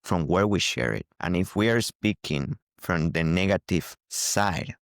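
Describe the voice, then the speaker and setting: A man's voice: low voice, a little slow, dry recording, clean studio sound. A man who is a non-native English speaker, giving a spiritual teaching talk as a channel host, repeating key phrases for emphasis. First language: not English.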